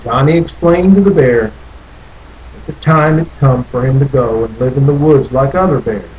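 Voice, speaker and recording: A man's voice: medium pitch, moderate pace, phone-quality sound. A man reading a children's story aloud expressively, theatrically lingering over phrases. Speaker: storybook reader. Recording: noticeable background noise.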